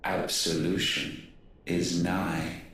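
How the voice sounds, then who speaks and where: Echoing, very slow, imperious ancient god. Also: male voice, sits low, slight background noise, exaggerated voice. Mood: cold, imperious. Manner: stately, flat, pronouncing judgment. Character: ancient godlike villain, male, cold and imperious. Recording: cavernous echo, faint background ambience.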